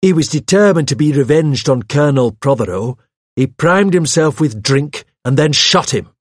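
A man voicing a nervous, obstinate man in an audiobook, with a shrill, fidgety and insistent delivery. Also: sing-song, normal speed, darkish tone, breathy edge, no echo, studio quality. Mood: eager, insistent. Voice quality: shrill when agitated. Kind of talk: audiobook character voice.

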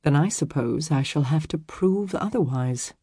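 A woman's voice: low voice, animated, measured pace, mellow, slightly rough, no echo, studio quality, exaggerated voice. A woman voicing a protective, earnest medieval nobleman in a historical romance audiobook, with formal, archaic phrasing. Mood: gentle, reassuring.

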